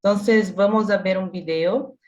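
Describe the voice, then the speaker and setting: A woman's voice: medium pitch, darkish tone. Woman moderating over dull call audio. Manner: careful, slightly halting learner's Spanish. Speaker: student moderator. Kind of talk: reading announcements on a video call. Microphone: video call.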